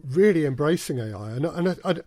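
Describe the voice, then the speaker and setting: A man's voice: fairly high pitch, animated, fast talker, dark tone, no room sound. Man explaining in a dry-sounding interview. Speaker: guest, audio drama producer. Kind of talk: casual podcast interview.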